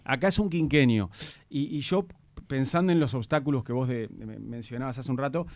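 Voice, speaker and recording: A man's voice: medium pitch, a little fast, a little mellow, no echo. A man hosting a radio talk show, asking probing questions in a conversational way. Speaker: radio host.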